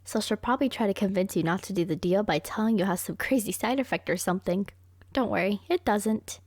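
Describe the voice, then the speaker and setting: A woman acts out a brilliant young female killer from an anime roleplay, speaking to a lover. She is self-satisfied and boastful, coaxing with manipulative sweetness. Her voice sits at a medium pitch in a dry, intimate recording. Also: fast talker, a little mellow, dry recording, natural voice. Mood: sweetly manipulative. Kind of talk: anime ASMR girlfriend roleplay.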